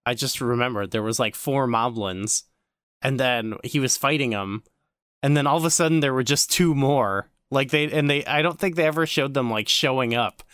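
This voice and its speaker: A man speaks at an average pitch in a very dry, close recording. He sounds like a podcast co-host chatting casually and jokingly. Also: normal speed, fairly bright tone, clear, resonant tone, dry recording.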